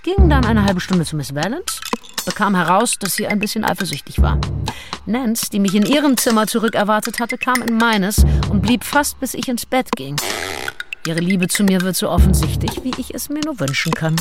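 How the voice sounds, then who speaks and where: An actress playing a confident, seductive gentlewoman of the 19th century who confides her diary in an intimate radio drama. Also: medium pitch, animated, normal speed, close to an everyday voice. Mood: self-assured, seductive. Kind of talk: radio drama acting, first-person diary narration. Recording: studio voice over prominent music. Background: music.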